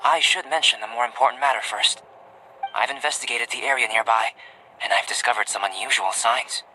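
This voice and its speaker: An actor playing a calm, curious young male alchemist in a video game, speaking in a measured, detached and articulate scholarly way. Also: mid-range voice, slight background noise, poor audio. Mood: composed. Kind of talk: scripted video game voice acting. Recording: music behind the voice.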